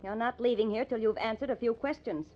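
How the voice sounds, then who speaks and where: Woman as a snappy, pushy radio-drama newspaperwoman, higher-pitched. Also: quick pace, lightly acted. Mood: insistent. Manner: snappy, insistent questioning. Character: pushy, sharp-witted woman newspaper reporter. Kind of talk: old-time radio drama acting. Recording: dull, lo-fi old radio recording.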